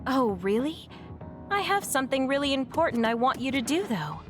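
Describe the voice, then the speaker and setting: A woman's voice: high voice, sing-song, normal speed. A woman playing an upbeat, persuasive young military major in an anime game dub. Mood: coaxing. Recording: music clearly behind the voice.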